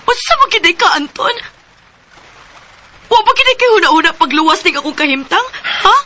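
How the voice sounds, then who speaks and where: A distressed young girlfriend in a Filipino radio drama. Her Cebuano-accented voice is very high and bright, insistent and full of reproach. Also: animated, moderate pace, exaggerated voice. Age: young adult. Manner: pressing, reproachful.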